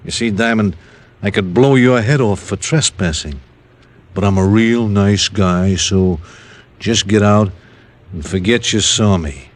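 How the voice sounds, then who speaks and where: Man playing a curt, low-voiced radio tough guy. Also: measured pace, close to an everyday voice. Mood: menacing. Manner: curt, slangy, taunting. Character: menacing, sarcastic male gunman, tough guy. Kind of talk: 1950s radio crime drama acting.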